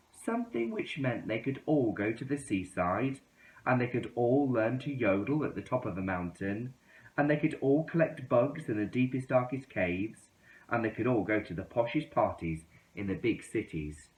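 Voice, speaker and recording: A man reading a children's story aloud in an expressive storytelling style. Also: medium pitch. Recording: roomy, echoing indoor sound.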